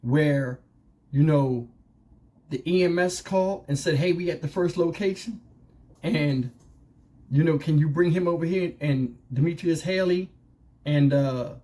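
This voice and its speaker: A man's voice: on the high side, unhurried, long pauses, mellow. A man giving casual opinion commentary as a channel host, speaking colloquially and conversationally with rhetorical questions.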